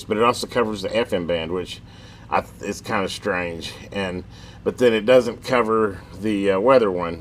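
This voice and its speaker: A man hosting a casual hands-on video demo, explaining in a folksy, easygoing, rambling way inside a metal building. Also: lowish voice. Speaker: host of a radio repair channel. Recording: noisy room, steady background hum.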